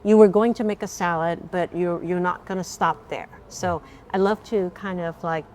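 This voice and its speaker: Woman chef interviewer, lower voice. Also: steady tone, moderate pace, slight background noise. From born in Vietnam, raised in the United States. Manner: warm questioning, many "mm-hmm" backchannels. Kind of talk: conversational interview.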